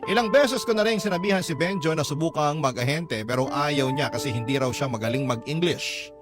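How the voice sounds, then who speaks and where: A man's voice: a little high, brisk, natural voice. A man voicing a lovestruck, discontented young mother's confession letter as dramatic radio narration. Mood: wistful, frustrated. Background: music bed.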